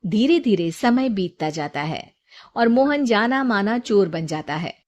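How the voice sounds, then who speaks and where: A woman's voice: mid-range voice, lively intonation, measured pace, a little mellow, lo-fi sound. A woman storyteller reading a scripted moral tale as narration.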